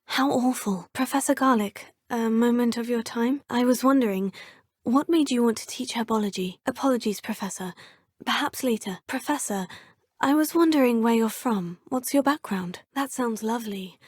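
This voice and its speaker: A woman's voice: on the high side, moderate pace, slightly rough, no echo, clean studio sound. A woman voicing a young, polite student witch who thinks aloud in a formal way. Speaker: player character. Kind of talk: video game voice lines.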